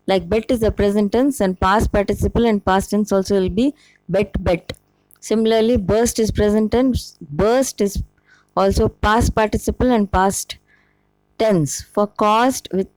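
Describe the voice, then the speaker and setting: Indian-accented woman, patient language teacher, slow deliberate delivery, mid-pitched. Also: a little mellow, low-quality recording. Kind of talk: spoken English lesson.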